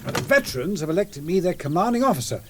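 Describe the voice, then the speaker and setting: An actor playing a mild, fretful middle-aged English gentleman in a period radio drama, courteous and formal, with an English accent. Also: sits high, very animated, quick pace, noisy background. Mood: modest, courteous.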